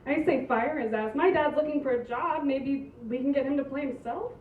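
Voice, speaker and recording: A woman's voice: sits high, moderate pace, echoey room, distant mic, narrow, tinny audio, a little hiss or hum, lightly acted. A woman playing an exasperated, blunt young female movie producer in an amateur script table read.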